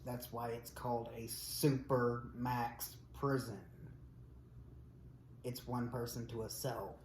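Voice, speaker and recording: A man's voice: mid-range voice, flat delivery, takes it slowly, a little mellow. Blunt, matter-of-fact male co-host. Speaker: podcast co-host. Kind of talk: casual podcast chat, reading news figures. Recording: roomy echo with faint noise.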